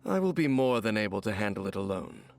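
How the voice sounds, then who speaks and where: A man voicing a calm, guarded wandering swordsman in a game cutscene, speaking in a measured, reserved way. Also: on the low side, vocal fry, a little hiss or hum. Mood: matter-of-fact.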